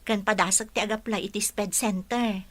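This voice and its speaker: A woman's voice: mid-range voice, fairly even intonation, moderate pace, lightly acted. A woman playing an earnest young student teacher in an Ilocano radio drama, with an Ilocano accent. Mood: hopeful. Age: young adult.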